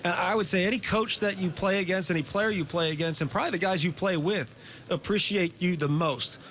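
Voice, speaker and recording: A man's voice: high-pitched, brisk, fairly bright tone, slight background noise. A man hosting a sports TV interview, asking long, detailed questions of college players. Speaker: sports TV host.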